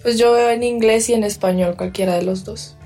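A teenage girl from the Dominican Republic answering interview questions in an even, thoughtful way. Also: on the high side, a little fast. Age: teens. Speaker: student being interviewed. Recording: distant mic with faint music.

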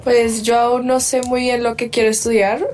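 A teenage girl from the Dominican Republic answering interview questions in an even, thoughtful way. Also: fairly high pitch, normal speed. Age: teens. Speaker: student being interviewed. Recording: distant mic with faint music.

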